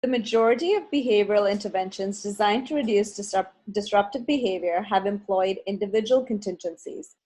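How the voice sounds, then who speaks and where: A woman from Toronto, a senior therapist, reading a prepared presentation quietly with small slips. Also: mid-range voice, fairly quick, hardly any pauses, low-quality recording. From Toronto, Canada.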